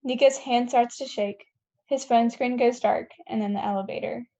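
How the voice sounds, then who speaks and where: A woman's voice: on the high side, fairly even intonation, moderate pace, creaky, warm tone. A woman reading scripted stage directions at a table read, plainly and with the odd stumble.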